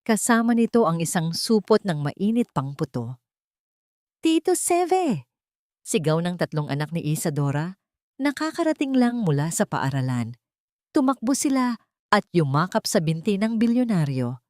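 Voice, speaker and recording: A woman narrates a scripted romantic drama as an audiobook. Her voice sits low for a woman. The sound is close and dry, with no room echo. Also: darkish tone.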